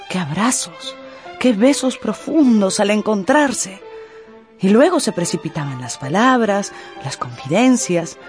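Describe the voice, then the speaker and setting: A narrator telling a radio drama story in an expressive, theatrical way with drawn-out emphasis. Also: medium pitch.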